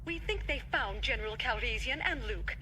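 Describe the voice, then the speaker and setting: A high, bright, lively voice for a concerned rebel stateswoman. She speaks formally and earnestly in a space audio drama. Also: moderate pace. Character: composed, concerned female leader of rebel alliance. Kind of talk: sci-fi audio drama acting. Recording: faint background noise.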